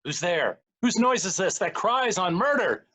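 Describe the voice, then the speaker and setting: A man's voice: high voice, big pitch swings, a little slow, bright, forceful tone, pitched above the performer's own voice, full character voice. A man playing a scheming villain in a stage tragedy, declaiming urgently with feigned alarm and outrage. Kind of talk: Shakespeare recitation.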